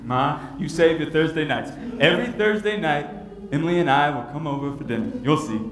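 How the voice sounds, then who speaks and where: An actor playing an anxious young groom who speaks earnestly and haltingly. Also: male voice, fairly high pitch, natural voice. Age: young adult. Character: anxious young groom pleading with his mother. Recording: echoey vintage film soundtrack with noise.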